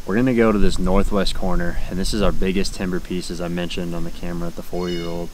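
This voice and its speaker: A man's voice: deep voice, darkish tone, slight background noise, rough recording. A young American man chatting casually to camera as the host of an outdoor vlog. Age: young adult. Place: outdoors in fields and woods.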